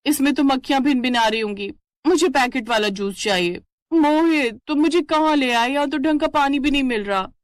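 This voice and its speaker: Woman voicing spoiled, high-pitched city daughter-in-law. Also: moderate pace, no echo, clean studio sound, close to an everyday voice. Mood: disgusted, complaining. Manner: whiny, disdainful, demanding.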